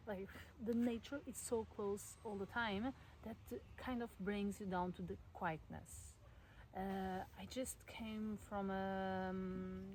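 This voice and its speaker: A young woman from Portugal with a Portuguese accent, talking casually to camera in her garden, with many fillers and soft laughs. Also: on the low side, a little hiss or hum, low-quality recording. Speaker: vlogger. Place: garden at home in the countryside, outdoors.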